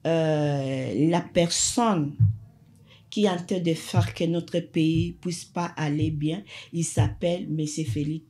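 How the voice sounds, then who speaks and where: A woman from Congo, a guest in an interview, answering slowly and hesitantly. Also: deep voice, fairly even intonation, dark tone. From Democratic Republic of the Congo. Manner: slow, hesitant.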